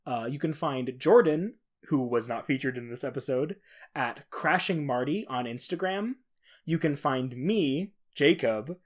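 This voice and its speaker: A man who hosts a podcast, speaking on his own. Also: medium pitch. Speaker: podcast host. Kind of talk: dramatic reading of a science-fiction horror log; casual podcast sign-off.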